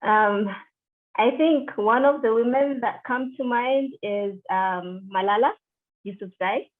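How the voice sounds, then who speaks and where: A middle-aged woman from Kenya, interviewed over a video call, speaking in a measured way with frequent fillers and restarts. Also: a little high. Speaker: interviewee, deputy country director. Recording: tinny call audio.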